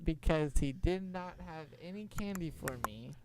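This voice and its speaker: A young man telling an improvised story in a halting, informal way. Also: on the high side, expressive, darkish tone. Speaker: storyteller, show host.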